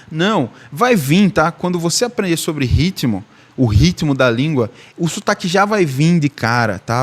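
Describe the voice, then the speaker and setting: A young man teaching languages in a casual, chatty way, often asking "tá?" and shifting his tone for emphasis. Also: on the high side. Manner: chatty, repeats himself, ends phrases with "tá?"; shifts his tone to show emotion. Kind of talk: casual video lesson.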